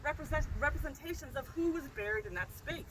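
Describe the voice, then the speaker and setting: A high, bright-voiced woman professor addresses a gathered crowd outdoors with eager, talkative energy, often asking "right?". Steady noise and passing traffic sit under her voice in this open-air recording. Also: fast talker, rough recording. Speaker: professor and nonprofit founder. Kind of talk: outdoor public speech. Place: outdoors at a historic site.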